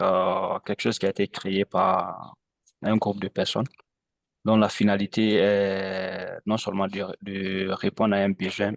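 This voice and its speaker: A man gives an informal, halting answer as a participant in an online training. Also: deep voice, gravelly, no room sound, clean studio sound. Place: on a video call, camera off.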